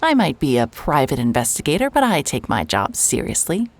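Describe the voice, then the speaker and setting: A woman playing a low-voiced, sardonic private eye. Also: huge pitch swings, fairly quick, background noise, exaggerated voice. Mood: wry. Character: wry, hard-boiled female private investigator. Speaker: private investigator. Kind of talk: audio drama acting.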